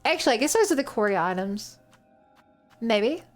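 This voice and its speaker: A woman's voice: fairly high pitch, big pitch swings, normal speed, faint music behind. A woman with a New Zealand accent streaming a game, chatting casually, thinking aloud and answering her chat. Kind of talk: casual gameplay commentary.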